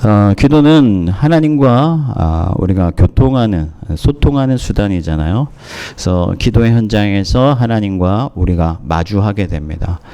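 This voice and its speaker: A man preaching a sermon as pastor, hoarse from a cold, speaking in measured phrases with pauses and fillers. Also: fairly low pitch, animated, warm tone. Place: church in Moscow.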